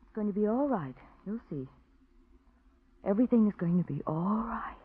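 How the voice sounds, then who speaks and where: An actress playing a calculating young wife in a 1940s radio drama, smooth and persuasive. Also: female voice, mid-range voice, lively intonation, normal speed, close to an everyday voice. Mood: urgent. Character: scheming young wife plotting murder. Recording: tinny, dry old radio recording.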